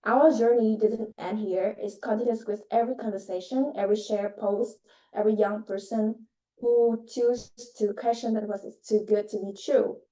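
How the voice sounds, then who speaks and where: A young woman giving a project presentation in halting, hesitant English. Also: mid-range voice, steady tone, mellow. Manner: halting, hesitant phrasing in non-native English. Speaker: student presenter. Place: video call. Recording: roomy, distant mic on online call.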